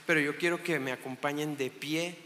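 A man's voice: on the high side, fairly even intonation, moderate pace, bright, forceful tone. A man in his thirties from Chihuahua, Mexico, with a northern Mexican accent, preaching a casual sermon in a church and often restarting his sentences. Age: 30s. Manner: chatty and self-joking, with many restarts. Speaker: guest preacher. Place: church hall. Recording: echoey hall with long reverb.

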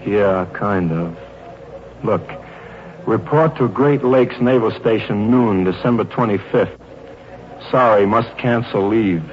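An actor playing an earnest young wounded Navy flyer, plain-spoken and halting, in 1940s radio drama style. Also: male voice, fairly low pitch. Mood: disappointed. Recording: noisy muffled old radio recording.

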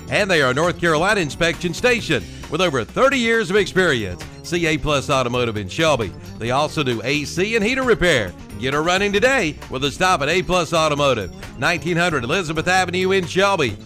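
A man's voice: on the high side, sharp tone. A man reading an upbeat scripted radio advertisement as a commercial announcer.

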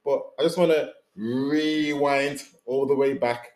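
A man hosting a casual chat show, hoarse from losing his voice, jokey and chatty, stumbling now and then. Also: medium pitch, fairly even intonation, takes it slowly, a little mellow. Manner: jokey, chatty, stumbles while reading an introduction. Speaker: host. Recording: echoey room.

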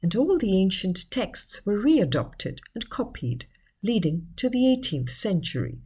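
A woman reading a scripted educational article in a measured, explanatory manner. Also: mid-range voice, very animated, darkish tone, slightly rough. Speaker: article narrator.

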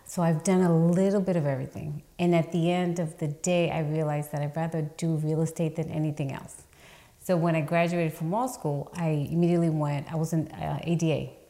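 Low-voiced woman in her fifties. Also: little pitch movement, brisk, mellow. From Dominican Republic, raised in New York. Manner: earnest, talks through her story with many ums. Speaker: real estate attorney, podcast guest. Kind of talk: casual interview talk.

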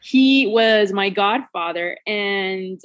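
A woman from Puerto Rico, a guest on a podcast, speaking in an unhurried, slightly nervous way and laughing often over a video call. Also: mid-range voice, a bit bright.

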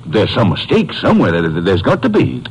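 A desperate, evasive father in a 1950s radio drama. His husky voice swings widely in pitch as he stammers and pleads in folksy phrases. The old radio recording frames him. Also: wildly swooping pitch, a little fast, full character voice. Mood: bewildered, stammering. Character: anxious retired oilman, widowed father, evasive and desperate.

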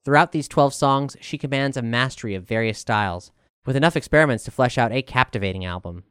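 A man's voice: medium pitch, fast, a bit bright, clean tone, no echo, clean studio sound. A man reading a scripted album review as narration.

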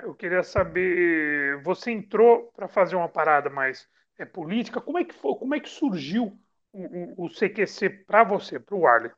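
A man's voice: sits high, a bit bright. A man hosting and asking questions in a casual interview. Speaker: host, interviewer. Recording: slightly dull, band-limited sound.

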